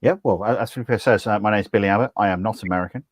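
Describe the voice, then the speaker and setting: Deep-voiced wry middle-aged man. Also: racing, no room sound. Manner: dry, wry humour. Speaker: co-host, drinks ambassador. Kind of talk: casual livestream chat.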